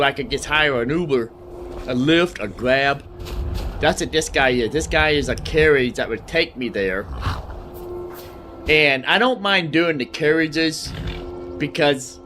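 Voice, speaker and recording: A man's voice: a little high, noisy background. A man giving casual gameplay commentary as a video host, repeating his phrases and using folksy slang.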